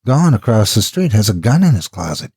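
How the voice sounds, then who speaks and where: A man's voice: fairly low pitch, very animated, fairly quick, warm tone, husky, no echo, studio quality, full character voice. A man voicing a cold, greedy, bloodthirsty wife in a horror story. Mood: whispering, scheming.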